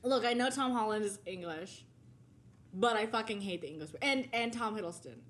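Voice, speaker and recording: A woman's voice: mid-range voice, normal speed, fairly bright tone. A woman co-hosting a podcast in casual chat, blunt and profane, often laughing. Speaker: podcast co-host.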